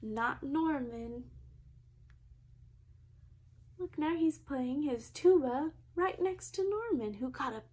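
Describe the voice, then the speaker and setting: Female preschool teacher for storybook reading. High-pitched, unhurried, expressive, talks straight to small children. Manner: expressive, addressing young children directly.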